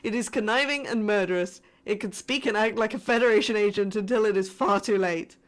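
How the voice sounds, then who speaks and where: A woman playing a frightened spaceship crew survivor sending a distress message in a scripted sci-fi horror audio drama, formal but shaken and halting. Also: medium pitch, close to an everyday voice. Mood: stern warning. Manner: formal reporting that breaks into shaken, halting, emotional delivery.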